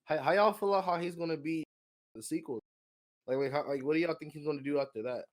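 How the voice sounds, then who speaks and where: A man with a fairly high voice chats casually on a podcast. He talks in slang and pushes his opinions, often doubling back over his words to make the point. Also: fast. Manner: slangy, opinionated, repeats himself. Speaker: podcast co-host. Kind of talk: casual chat, film discussion.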